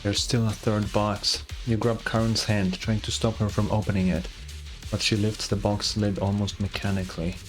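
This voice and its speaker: A man's voice: on the low side, level tone, moderate pace. A man streaming a video game, reading its story narration aloud with casual asides, over game music.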